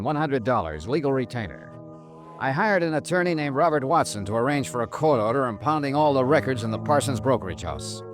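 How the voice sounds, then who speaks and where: An actor playing a tough, sardonic insurance investigator with an American accent, brisk and assertive, in 1950s radio drama style. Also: male voice, mid-range voice, close to an everyday voice. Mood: brisk, matter-of-fact. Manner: brisk, hard-boiled, assertive. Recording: restored old radio broadcast.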